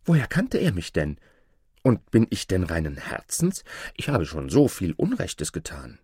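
A man's voice: low voice, huge pitch swings, unhurried, darkish tone, husky, no room sound, studio quality, full character voice. A man voicing an impulsive, questioning boy hero in a fairy tale, with an eager manner. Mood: puzzled.

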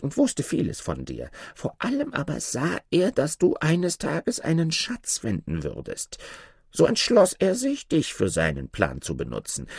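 Man putting on a high, swooping dwarf spirit. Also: creaky, a little mellow, slightly rough, no room sound, clean studio sound, heavily acted. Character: dwarf spirit of a magic ring, polite, fussy servant.

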